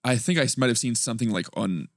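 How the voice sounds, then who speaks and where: A young man in his mid twenties talks with an even, middle pitch, asking curious questions and laughing easily. He sits close to a dry mic with no room echo. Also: quick pace. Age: 20s. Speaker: podcast co-host. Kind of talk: casual chat.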